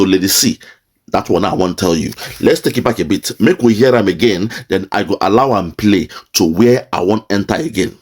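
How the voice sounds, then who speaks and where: A man with a Nigerian accent hosting an online show, talking back to a played clip in a casual mix of Pidgin and English. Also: low-pitched. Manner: lively, mixes Nigerian Pidgin and English, laughs often. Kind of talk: casual commentary.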